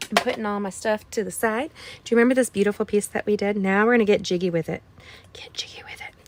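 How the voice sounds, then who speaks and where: A woman with a slightly scratchy voice walks viewers through a card-making project in a relaxed, chatty way. She tosses in playful asides and often drops her voice to a near whisper. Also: medium pitch. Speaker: card-making tutorial host. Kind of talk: casual craft tutorial chat.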